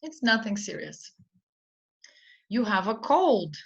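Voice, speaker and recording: A woman's voice: medium pitch, big pitch swings, slow pace, gravelly, husky, dull audio. A woman teaching an English idiom to adult learners in an unscripted lesson, explaining with many fillers and restarts and reading example sentences aloud.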